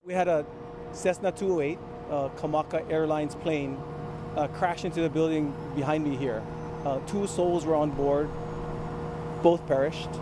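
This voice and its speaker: A man, a government official, speaking haltingly at a press briefing. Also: fairly high pitch, steady tone. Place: outdoors near the incident scene. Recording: clear outdoor traffic noise.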